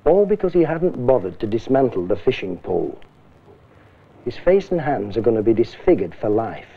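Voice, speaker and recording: A strict british science teacher, middle-aged, gravelly n very up-and-down, muffled old film sound. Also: male voice, mid-range voice, very animated, moderate pace, exaggerated voice. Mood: grave warning. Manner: emphatic, questioning, admonishing. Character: stern, blunt male science teacher lecturing a class. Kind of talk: acted classroom lecture in a safety film. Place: classroom. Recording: muffled, thin old public information film.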